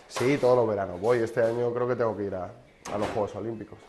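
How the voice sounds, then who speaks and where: A man's voice: on the low side, steady tone, fast talker, noisy background. A man in his thirties from Spain, a sports journalist guest chatting casually in a kitchen interview, telling rambling anecdotes with many restarts. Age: 30s.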